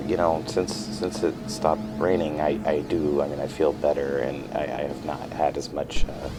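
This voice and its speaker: A man playing a weary, uncertain patient in a naturalistic fiction podcast, speaking haltingly and trailing off. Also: extremely low pitch, little pitch movement, over loud music. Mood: hesitant, tired. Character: weary, uncertain male patient with memory lapses.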